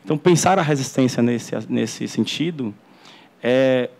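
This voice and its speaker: Man, university lecture, earnest, halting restarts, auditorium talk. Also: mid-range voice, a little mellow. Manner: earnest, frequent restarts and repeated words. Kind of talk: academic lecture.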